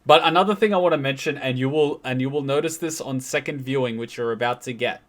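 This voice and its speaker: A man's voice: mid-range voice, fast talker. Guy doing casual reaction commentary, emphatic, rewords stuff as he explains. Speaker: reaction video host.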